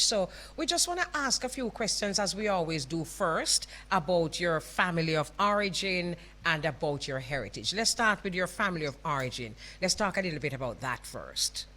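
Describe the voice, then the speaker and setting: Woman, Jamaican accent, radio host, casual chat, bright forceful tone, laughs a lot. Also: on the low side, animated, normal speed, few pauses. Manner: warm motherly, calls people love, laughs often. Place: radio studio.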